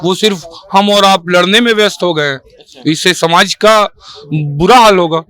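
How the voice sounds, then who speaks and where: A man's voice: sits high, moderate pace, bright, forceful tone, clean tone. A man giving his opinion in an impromptu street interview. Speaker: member of the public being interviewed.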